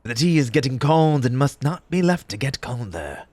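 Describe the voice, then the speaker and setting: A man playing an eccentric, fussy tea-party host in an audio roleplay, pompous and formal in old-fashioned diction, with a breathy, husky voice and an undertone of grief. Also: medium pitch, sing-song, moderate pace, darkish tone, dry recording. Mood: apologetic, subdued. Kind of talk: theatrical character audio roleplay.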